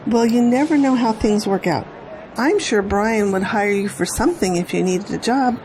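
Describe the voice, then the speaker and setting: A woman's voice: on the high side, moderate pace, a little mellow, slight background noise, natural voice, low-quality recording. A woman playing a doting, fussy middle-aged mother in an audio drama.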